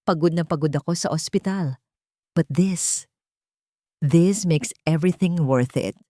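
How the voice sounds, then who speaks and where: A woman storyteller acts out a successful Filipino husband in a drama story. He speaks smoothly and affectionately while hiding his deceit. The recording is dry, with little room sound. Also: low-pitched, unhurried, dark tone, no room sound. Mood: sweet, tender.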